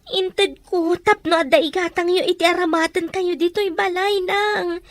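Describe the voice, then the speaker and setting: A woman's voice: very high-pitched, fairly even intonation, normal speed, no room sound. A woman with an Ilocano accent playing an earnest, aggrieved working daughter in an audio drama. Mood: upset, accusing. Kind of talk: Ilocano radio-style drama acting.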